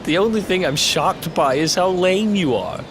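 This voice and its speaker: A man's voice: sits high, expressive, moderate pace, background noise. A man voicing a cheeky, easygoing young adventurer sidekick in a video game, speaking in a wry, teasing, colloquial way. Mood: wry, skeptical. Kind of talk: video game character acting.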